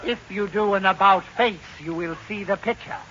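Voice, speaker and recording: An actor playing a patient but firm baseball umpire in 1940s radio comedy, crisp and politely correcting. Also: male voice, high voice, normal speed, dull audio, natural voice. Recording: very noisy vintage radio recording.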